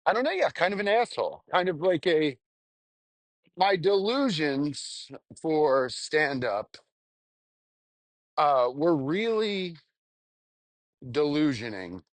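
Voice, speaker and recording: A young adult man, a stand-up comedian, rambling casually and candidly in a halting, self-reflective way with some casual swearing. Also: a little high, big pitch swings, no room sound. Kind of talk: casual rambling monologue, audio journal.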